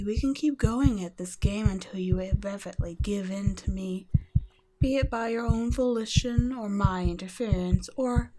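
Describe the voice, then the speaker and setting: A woman voicing a cold, teasing female vampire scientist in an ASMR roleplay, speaking softly and often in a whisper, mocking and condescending. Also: mid-range voice, noisy background, close to an everyday voice. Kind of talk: ASMR roleplay audio drama.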